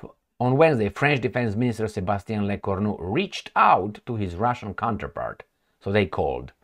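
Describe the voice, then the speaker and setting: A man with a low voice whose pitch swings widely, reading news aloud and then drifting into long sarcastic asides. He stops and restarts often and acts out imagined dialogue. It sounds like an informal one-man commentary channel. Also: big pitch swings, moderate pace, fairly bright tone. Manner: rambling and sarcastic, breaks into asides and mock dialogue.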